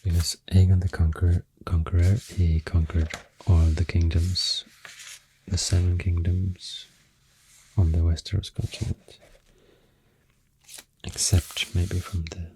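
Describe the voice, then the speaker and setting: Male ASMR creator, Swedish accent, soft breathy near-whisper; flat, slow, unscripted delivery on a close mic. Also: sits low, noisy background. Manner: hesitant, unscripted, unhurried. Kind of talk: soft-spoken ASMR rambling explanation. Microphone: close-up ASMR microphone.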